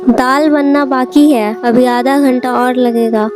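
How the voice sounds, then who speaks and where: A woman's voice: high voice, steady tone, slow pace, over music. A woman voicing a work-shy, scheming village housewife in a moral-story cartoon, with a dramatic, complaining manner. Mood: irritated, weary. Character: potter's work-shy, complaining, scheming wife and her friend.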